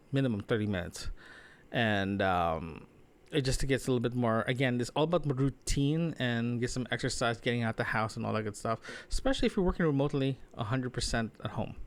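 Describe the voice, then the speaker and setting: A man speaking in a casual audio-diary monologue as a solo podcaster, rambling and often rephrasing himself. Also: medium pitch. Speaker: solo podcaster, remote-working designer.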